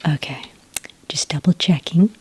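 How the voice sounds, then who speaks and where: A woman doing a soft-spoken ASMR roleplay as a patient enrollment witch, in a breathy, whispery voice with a gentle, polite manner. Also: low voice, slight background noise. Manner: gentle, slow, hesitant official patter. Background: computer keyboard typing.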